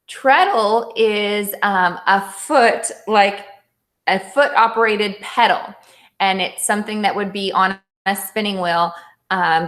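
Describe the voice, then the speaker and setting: A woman's voice: medium pitch, fairly bright tone. A woman teacher giving a read-aloud lesson for children, explaining things simply and instructively. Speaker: elementary school teacher.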